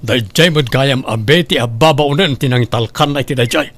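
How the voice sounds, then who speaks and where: A man's voice: medium pitch, a little fast, fairly bright tone, lightly acted. A man playing a stern, aggrieved middle-aged father in an Ilocano audio drama. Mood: angry, aggrieved.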